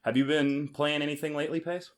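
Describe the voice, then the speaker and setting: A man's voice: fairly high pitch, flat delivery, fast. A man hosting a podcast, chatting casually about board games with a dry, teasing, joking manner. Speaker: podcast host.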